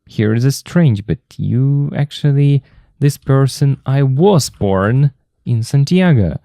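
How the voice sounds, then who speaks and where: A Russian-accented man walks learners through grammar points at an unhurried pace, repeating each key term. His voice is mellow and lively in pitch, with a dry, close sound. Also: vocal fry, no echo. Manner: slow, patient, repeats key terms. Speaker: English teacher. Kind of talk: explaining grammar from a textbook.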